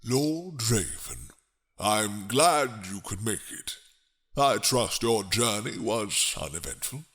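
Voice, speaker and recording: A man voicing a grave, suspicious king in a dramatic roleplay, stately, formal and deliberate. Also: fairly low pitch, very animated, a bit bright, exaggerated voice. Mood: gracious, reserved.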